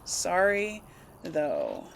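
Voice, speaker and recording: A woman's voice: fairly low pitch, huge pitch swings, drawn-out words, vocal fry, a little hiss or hum. A woman giving casual, rambling video game commentary to her audience, chatting to the characters as she plays. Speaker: host of a video game let's play.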